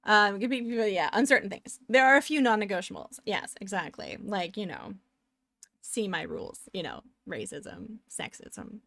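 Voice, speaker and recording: A woman's voice: medium pitch, sing-song, normal speed, vocal fry, a bit bright. Chatty young Canadian woman streamer. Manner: chatty, rambling, upbeat. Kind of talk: casual chat with viewers.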